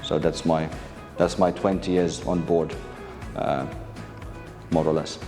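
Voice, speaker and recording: A man in his thirties from Finland, a maritime lecturer answering interview questions in a relaxed way, with frequent fillers and restarts. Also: rumbling low, takes it slowly, big-room sound, soft background music. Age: 30s. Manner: hesitant, many fillers and restarts.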